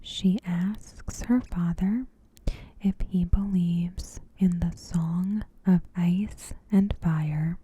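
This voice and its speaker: Female ASMR narrator: extremely soft and mellow, whispered and breathy, lowish pitch, unhurried scripted delivery with careful pauses. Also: dry recording, low-quality recording. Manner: hushed, slow, deliberate pauses. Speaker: ASMR host. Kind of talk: ASMR, softly spoken scripted episode recap.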